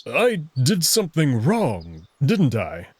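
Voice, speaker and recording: A man playing an earnest, nervous wolf alien who speaks in formal, halting phrases. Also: medium pitch, huge pitch swings, breathy edge, no echo, heavily acted. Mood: unsure, anxious.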